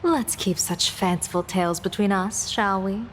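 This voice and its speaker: A woman playing a composed female general and diviner in a video game, polite and measured with dry sarcasm. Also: medium pitch, slight background noise, lightly acted. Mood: politely teasing.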